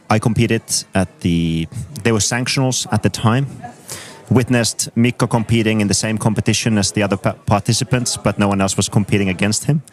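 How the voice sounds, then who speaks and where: A man in his thirties from Finland with a Finnish accent, a coach speaking as a guest in an interview and explaining his ideas in a measured, thoughtful way. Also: on the low side. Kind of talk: conversational interview.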